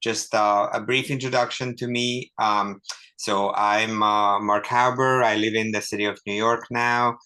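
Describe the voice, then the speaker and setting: A middle-aged man from Hungary, an author and professor giving a relaxed, chatty talk with dry humor and many ums over a video call. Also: lowish voice, measured pace. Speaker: author and professor, guest speaker. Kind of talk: informal talk to an online audience.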